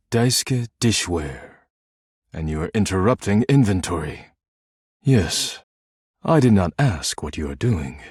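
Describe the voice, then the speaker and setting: An actor voicing a stern, proud, duty-bound living dishware caretaker in a video game, with a formal, clipped, solemn delivery and a husky voice. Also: male voice, low-pitched, darkish tone, no echo, clean studio sound, exaggerated voice. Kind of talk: video game character voice lines.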